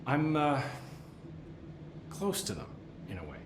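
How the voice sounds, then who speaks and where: Nervous, rambling supervisor, lively pitch. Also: male voice, slow, full character voice. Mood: chatty, awkward. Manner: hesitant, rambling, fussy. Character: nervous, chatty, deferential facility supervisor, eager bureaucrat. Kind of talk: video game voice acting. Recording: roomy echo, faint background noise.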